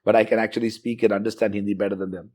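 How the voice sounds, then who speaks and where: A middle-aged man from South India recalling his student years in a relaxed interview, reflective and fluent with frequent fillers. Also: fairly low pitch, very fast. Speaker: interviewee, university professor. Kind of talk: conversational interview.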